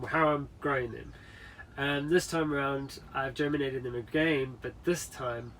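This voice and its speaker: A man with a nasal voice giving a relaxed, rambling tour of his garden and polytunnel, with lots of ums, recorded on a camera mic outside. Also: mid-range voice, low-quality recording. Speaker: gardener vlogger. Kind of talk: casual garden tour walkthrough. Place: outdoor garden, then a polytunnel. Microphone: camera mic catching wind outdoors. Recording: wind noise on the mic outdoors.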